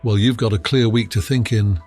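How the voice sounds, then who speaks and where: A man's voice: lowish voice, steady tone, moderate pace, darkish tone, faint music behind, pitched above the performer's own voice, natural voice. A man voicing a blunt, confident Scotland Yard inspector in an audiobook, speaking in a matter-of-fact, colloquial way. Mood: smug, amused. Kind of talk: acted audiobook dialogue.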